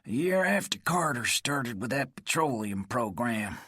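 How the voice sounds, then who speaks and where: A man voicing a bitter, paranoid old laid-off miner who rants in a gruff, folksy drawl. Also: mid-range voice, big pitch swings, measured pace, pushed and bright, no echo, studio quality, voice pushed up, full character voice. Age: older. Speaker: story character. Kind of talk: audiobook character voice.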